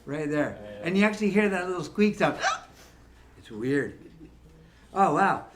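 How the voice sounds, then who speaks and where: High-voiced, slow-talking joking man. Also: animated, background noise. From Ontario, Canada. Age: 50s. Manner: playful, teasing, chatty. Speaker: musician entertaining seniors. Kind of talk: joking banter between songs.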